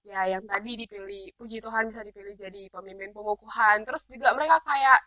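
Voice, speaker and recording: A woman's voice: mid-range voice, quick pace, no echo, lo-fi sound. A young woman with a Batak accent, a podcast guest, chatting cheerfully and a little shyly. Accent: Batak Indonesian. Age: young adult. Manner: cheerful, giggly, a bit shy. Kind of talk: casual interview chat.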